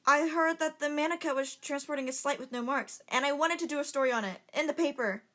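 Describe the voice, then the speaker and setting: A woman plays a young female reporter caught as a stowaway in an amateur audio drama. Her voice is high and bright, and she talks in a defensive, chatty way. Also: fast. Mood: flustered.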